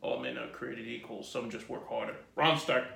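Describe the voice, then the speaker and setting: A man in his forties, an artist telling his life story in a relaxed, rambling way during an interview. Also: medium pitch, normal speed, creaky. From Jamaica. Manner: rambling lists, relaxed and reflective. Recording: echoey room.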